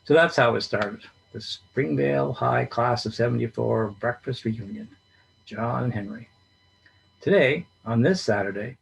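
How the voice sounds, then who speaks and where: A man reading a short story aloud in a steady storytelling manner. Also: medium pitch, creaky, husky, rough recording. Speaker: reader.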